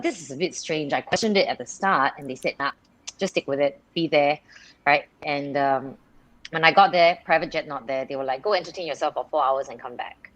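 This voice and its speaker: A woman's voice: low voice, dry recording, poor audio. A woman from Singapore, a radio presenter, chatting as a guest on a live video call and telling stories with many restarts and laughs. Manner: chatty storyteller, restarts sentences, laughs often.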